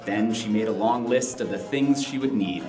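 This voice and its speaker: A male narrator reads a rhyming storybook aloud in a measured, expressive way. His voice sits in an echoey live room with guitar music behind it. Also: fairly low pitch. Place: live stage in a library room.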